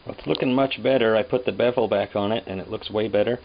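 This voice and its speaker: A man casually explaining guitar repair work in a halting, unscripted, plain-spoken way, as a craftsman hosting his own demonstration. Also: lowish voice, steady tone. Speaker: guitar repairer and restorer, host. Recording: dull lo-fi sound with hum and noise.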